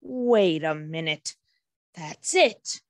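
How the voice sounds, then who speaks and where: A woman voicing a timid small talking shrub plucking up courage, with dramatic delivery in an animated fantasy table read. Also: low-pitched, extremely slow, dry recording, clean studio sound, exaggerated voice. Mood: anxious, hopeful. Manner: dramatic, exclamatory.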